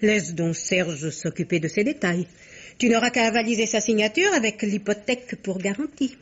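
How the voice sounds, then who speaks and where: A woman playing a husky, scheming rich cousin. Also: medium pitch, moderate pace, close to an everyday voice. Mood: sweetly scheming. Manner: sweetly condescending, smooth-talking. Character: rich, scheming female antique dealer. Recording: dull old radio archive.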